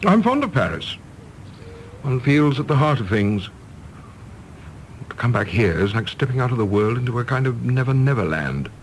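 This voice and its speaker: A man's voice: medium pitch, big pitch swings, moderate pace, long pauses, a little hiss or hum, natural voice, poor audio. A man playing a stiff, proud, disapproving English diplomat in a radio drama, speaking formally and pompously in a clipped English accent. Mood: reserved, aloof. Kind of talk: British stage-play radio drama acting.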